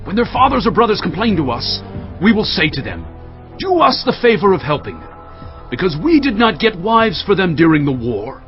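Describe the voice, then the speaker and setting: A man's voice: high-pitched, sing-song, moderate pace, soft background music. A man acting an earnest elder of the Israelite assembly, declaiming and instructing in a dramatised scripture reading. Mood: urgent instruction. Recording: dull, radio-like lo-fi sound.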